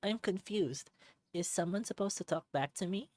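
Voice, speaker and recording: A woman's voice: fairly low pitch, normal speed, dark tone, no room sound, voice pushed up, natural voice. A woman voicing an innocent, curious young fox boy in a visual novel, with a naive, earnest manner. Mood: puzzled. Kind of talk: character voices in a visual novel read-aloud.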